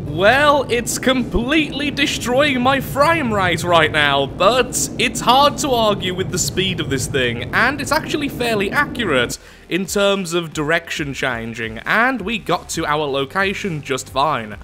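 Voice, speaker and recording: Lively man with a flu-worn voice. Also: high voice, unhurried, noisy background. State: sick with the flu, losing his voice. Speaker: gaming channel host. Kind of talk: casual gameplay commentary.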